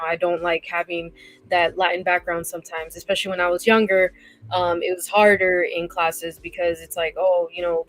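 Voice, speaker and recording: A woman's voice: fairly low pitch, fairly bright tone, slight background noise, rough recording. A woman co-hosting a podcast, talking casually and personally and often checking for agreement. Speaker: podcast co-host. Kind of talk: casual discussion, personal sharing.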